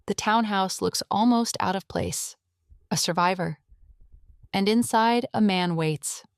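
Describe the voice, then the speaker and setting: A woman narrating a scripted audio drama in a measured, atmospheric storytelling manner. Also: medium pitch, clean tone, no room sound, clean studio sound.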